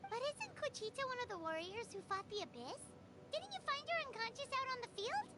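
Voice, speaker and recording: A woman voicing a tiny, candid, childlike fairy companion with a squeaky voice in a video game. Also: very animated, brisk. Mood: puzzled, concerned. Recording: loud background noise under voice.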